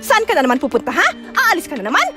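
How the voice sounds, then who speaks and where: Very shrill, nagging young wife. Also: female voice, sing-song, loud music behind the voice. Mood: suspicious, accusing. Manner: scolding, accusatory, rapid repeated questions. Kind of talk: Tagalog radio-style drama acting.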